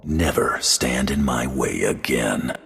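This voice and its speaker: A very deep, gravelly bounty hunter. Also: male voice, slow, fairly bright tone, big-room sound, faint music behind, exaggerated voice. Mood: stern. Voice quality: gravelly, husky. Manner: terse, dry. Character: stern alien male bounty hunter, honorable and menacing. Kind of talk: video game acting.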